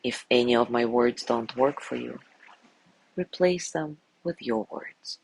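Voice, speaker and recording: A man guiding a sleep meditation in a gentle, soothing way, softly spoken and unhurried, with long pauses between phrases. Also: mid-range voice, gravelly, a little hiss or hum, low-quality recording. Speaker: meditation guide.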